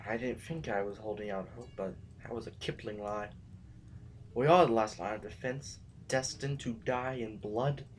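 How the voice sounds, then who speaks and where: A man's voice: mid-range voice, natural voice. A man playing an anguished, bitterly philosophical reluctant rebel in a science fiction audio drama, with a theatrical, wordy delivery. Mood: bleak resignation. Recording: faintly noisy amateur recording.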